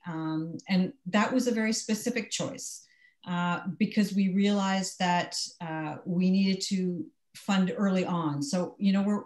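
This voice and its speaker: A woman speaking as a panelist, explaining in a measured way. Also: on the low side, darkish tone, roomy sound. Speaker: panelist, nonprofit director. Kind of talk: panel discussion.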